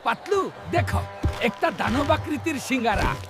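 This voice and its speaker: A man's voice: very high-pitched, very animated, a little slow, exaggerated voice. A man voicing a greedy, carefree cartoon glutton, eager and exclamatory in a children's cartoon. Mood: eager craving. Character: greedy, food-obsessed male cartoon glutton, carefree and excitable. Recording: loud music bed. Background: loud music.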